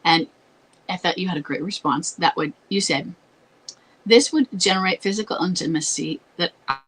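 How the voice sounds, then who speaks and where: A woman hosting an advice talk, congested and scratchy as her voice gives out, explaining in a halting way. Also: fairly low pitch, fairly bright tone. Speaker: advice show host. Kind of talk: advice talk with read-out letters.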